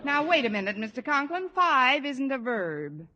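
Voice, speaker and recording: A woman playing a wisecracking high school English teacher in a 1950s radio sitcom, delivering dry, sardonic quips. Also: big pitch swings, moderate pace, pushed and bright, highs cut off, heavily acted. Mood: wry. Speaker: narrator of her own story.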